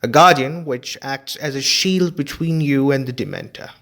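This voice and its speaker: A man voicing a kindly adult male wizard teacher who speaks slowly and emphatically. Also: mid-range voice, vocal fry, sharp tone, voice pushed up. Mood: instructive. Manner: slow, emphatic and instructive.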